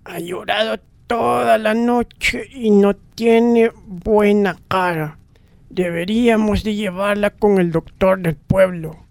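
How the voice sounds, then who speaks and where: A woman playing a gruff but caring bookish man, grumbling and unhurried, in a radio drama. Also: medium pitch, fairly even intonation, darkish tone, dry recording. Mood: concerned. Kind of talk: amateur radio drama acting.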